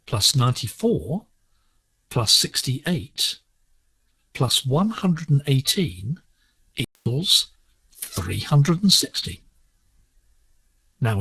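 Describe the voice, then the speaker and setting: A man presenting a scripted educational lesson on shortwave digital radio, explaining in a measured, deliberate way. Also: mid-range voice, a bit bright, breathy edge, no echo, low-quality recording. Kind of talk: scripted instructional narration.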